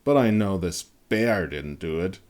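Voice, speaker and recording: A man voicing a hardened older monster hunter, blunt, controlled and contemptuous, in a dark fantasy audio story. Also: low-pitched, lively intonation, unhurried. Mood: firm, menacing.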